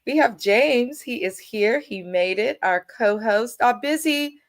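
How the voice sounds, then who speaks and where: A young woman hosting a casual TV recap, congested and a bit nasal from allergies, blunt and animated, swearing freely. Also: fairly high pitch, unhurried. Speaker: host.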